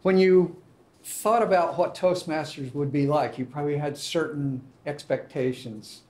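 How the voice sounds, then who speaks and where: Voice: medium pitch, measured pace, darkish tone. A host introducing club members and asking them friendly questions at a meeting. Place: meeting room.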